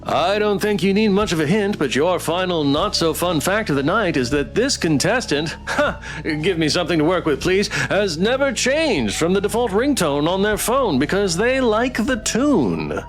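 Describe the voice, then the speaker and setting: A man's voice: high voice, sing-song, moderate pace, soft background music. A man voicing a showy, teasing host of a monster dating game show in a visual novel.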